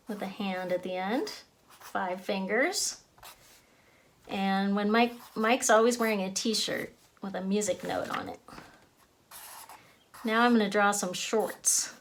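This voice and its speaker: A woman leads a children's drawing lesson at a slow, unhurried pace. She explains each step simply as she draws. There is faint noise behind her voice. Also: medium pitch. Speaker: children's author and illustrator giving a drawing lesson.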